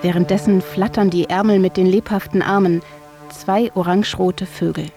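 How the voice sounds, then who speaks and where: A woman's voice: on the low side, fairly even intonation, normal speed. Female feature narrator over music. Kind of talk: scripted documentary narration.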